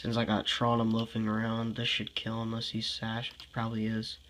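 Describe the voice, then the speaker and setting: A man's voice: on the low side, monotone, normal speed, a bit bright, slight background noise. A young man with a congested voice giving casual game commentary, thinking aloud, sighing often and sounding frustrated. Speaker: gameplay commentator.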